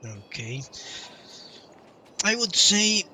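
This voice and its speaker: A man livestreaming a game playthrough, chatting casually with viewers in a halting, opinionated way. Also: high-pitched, sing-song, pushed and bright, a little hiss or hum. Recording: distant mic, game music behind.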